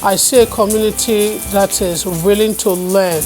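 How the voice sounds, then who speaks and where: A woman's voice: mid-range voice, fairly even intonation, moderate pace, a little mellow. Woman settlement worker talking over music. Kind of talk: unscripted interview talk.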